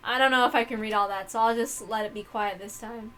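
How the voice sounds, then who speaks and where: A woman hosting a read-along, giving casual commentary in a rambling, halting way that often interrupts itself. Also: fairly high pitch, steady tone, fairly bright tone, slight background noise. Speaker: host reading a visual novel aloud.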